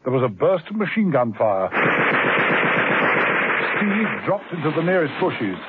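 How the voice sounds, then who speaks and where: A man narrating a radio drama in a measured storytelling style. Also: fairly high pitch, sing-song. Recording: tinny old broadcast with hiss.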